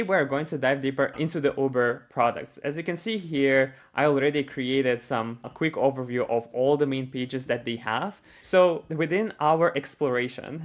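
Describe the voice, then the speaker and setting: A man hosting an online design panel, upbeat and quick to praise each speaker. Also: mid-range voice. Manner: upbeat, repeats short praise. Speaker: host.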